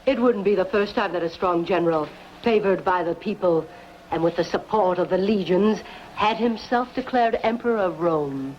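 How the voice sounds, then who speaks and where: A woman playing a shrewd, insinuating Roman noblewoman in a dubbed sword-and-sandal film, speaking in a measured, pointed way. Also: lowish voice, dull audio, a little hiss or hum, close to an everyday voice. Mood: insinuating, cautioning.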